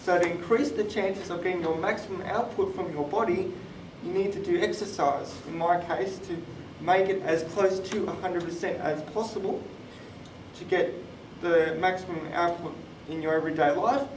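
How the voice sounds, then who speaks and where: Male, twenties, high voice, explanatory panel speaker; recorded far from the mic in an echoey room. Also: moderate pace. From born in Thailand, moved to Australia. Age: 20s. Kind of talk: personal talk on a live panel podcast. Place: conference room, live event. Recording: roomy, distant mic, clear background noise.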